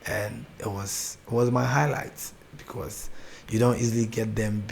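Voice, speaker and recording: A young Ghanaian man with a Ghanaian accent, a gospel artist chatting as an interview guest, soft-spoken, laughing easily and often restarting his sentences. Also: lowish voice, fairly even intonation, normal speed, creaky, a little hiss or hum. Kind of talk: casual interview chat.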